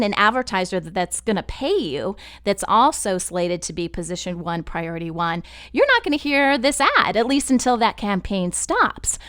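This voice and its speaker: A woman giving a conversational, step-by-step software tutorial in an explanatory style. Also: mid-range voice, clear, resonant tone. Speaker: podcast network instructor.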